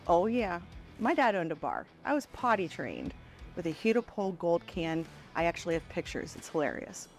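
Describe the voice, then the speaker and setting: A woman's voice: lowish voice, big pitch swings, normal speed, soft background music. A woman, a bar and grill owner answering interview questions playfully and laughing often. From Norwood, Ohio. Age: late 40s. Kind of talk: casual interview.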